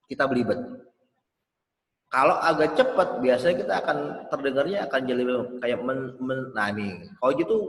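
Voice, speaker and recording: A man's voice: mid-range voice, a bit bright. A man giving a casual webinar talk on emcee skills, rambling a little and sounding out tricky words as examples. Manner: loose, rambling explanations with spoken pronunciation demos. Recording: roomy with long reverb.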